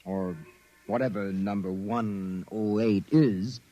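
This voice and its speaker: An actor with an American accent playing a brusque, wary private investigator in 1950s radio drama style, giving orders in slangy, commanding speech. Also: male voice, lowish voice, fairly even intonation, takes it slowly, highs cut off, heavy background noise. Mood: grim, determined.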